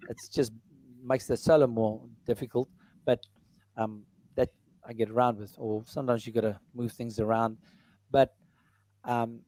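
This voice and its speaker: A middle-aged man with a baritone voice, talking casually about his music in an interview, rambling with many fillers and restarts. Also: warm tone, no room sound, low-quality recording. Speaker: musician and entertainer.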